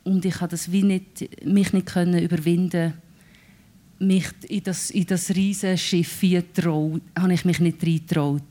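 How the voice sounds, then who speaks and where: A woman's voice: on the low side, steady tone, measured pace, mellow. A singer-songwriter woman with a Swiss German accent, talking thoughtfully about her songwriting in an interview. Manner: thoughtful, searching for words. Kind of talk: casual radio interview talk.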